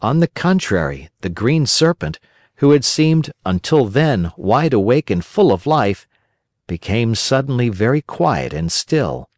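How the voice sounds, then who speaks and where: A man's voice: mid-range voice, very animated, unhurried, no echo, clean studio sound. A man narrating a children's story as a scripted audiobook.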